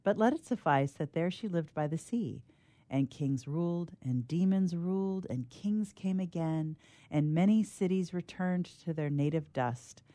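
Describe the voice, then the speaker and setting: A woman's voice: on the low side, a little slow, warm tone, no room sound. A woman telling stories on a radio show, both reading aloud and chatting in a friendly way. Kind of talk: storybook reading and friendly chat.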